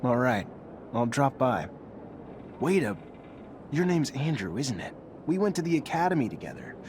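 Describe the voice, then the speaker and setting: An actor playing an earnest, polite young fugitive hero in a video game, plain-spoken. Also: male voice, medium pitch, sing-song, moderate pace, a little mellow, lightly acted. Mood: earnest, curious. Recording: game dialogue over clear ambient noise.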